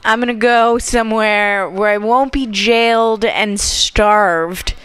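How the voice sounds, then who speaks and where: A woman's voice: mid-range voice, slow, a bit bright, clean tone, dry recording. A woman comedian guesting on a podcast in a radio studio, chatting casually with wry, joking asides. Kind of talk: casual chat. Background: faint background music.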